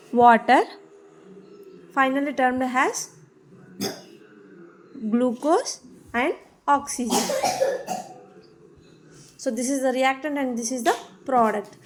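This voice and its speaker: A woman's voice: high-pitched, low-quality recording. A woman with a South Indian accent giving a biology lesson in a didactic, emphatic way, repeating key terms. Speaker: biology teacher. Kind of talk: classroom lecture, explaining definitions.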